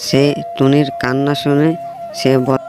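A man's voice: mid-range voice, flat delivery, unhurried, a little mellow. A man narrating a scripted children's fable as the storyteller. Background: background music.

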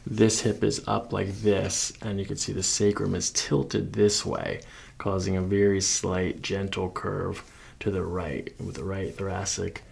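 A man's voice: low-pitched, little pitch movement, a little slow, gravelly, darkish tone. A man in his thirties working as a chiropractor, talking a patient through a hands-on exam with instructions and short check-in questions. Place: small carpeted clinic treatment room. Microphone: microphone on a boom arm near the table.

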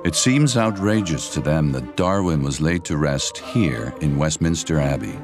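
A man narrates a documentary from a script. His voice is extremely deep, and music plays clearly behind him. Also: lively intonation, measured pace.

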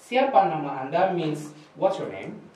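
Man, young, high voice, patient teacher, distant echoey recording. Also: big pitch swings, a little mellow, a little hiss or hum. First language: Indonesian. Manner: slow, patient explaining, repeats words for students. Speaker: language teacher. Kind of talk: classroom language lesson. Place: small room with projector screen. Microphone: far camera. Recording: echoey room recorded from afar.

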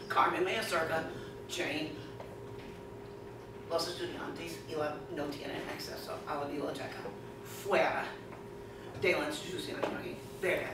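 Slow, careful woman in echoey room. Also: on the low side. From United States. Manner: halting non-native Spanish, slow and careful. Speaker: librarian presenter. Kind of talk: conference presentation. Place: classroom, indoors. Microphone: far camera. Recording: echoey classroom from far off, noisy.

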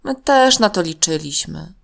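A woman's voice: fairly low pitch, expressive, unhurried, vocal fry, fairly bright tone, heavily acted. A woman voicing a caring, outspoken little girl in a children's story, direct and emotive. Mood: concerned.

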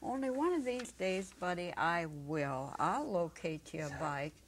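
An elderly woman playing a warm, spirited, plain-spoken old country woman in a dramatic story reading, folksy and earnest. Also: lowish voice, expressive, unhurried, slight background noise. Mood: wistful, determined. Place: indoor stage set, seated at a music stand.

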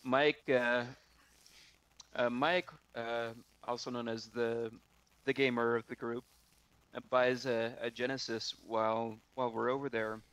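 A man casually telling a story on a video call, halting and often repeating his words. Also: medium pitch, flat delivery, a bit bright, smooth, poor audio.

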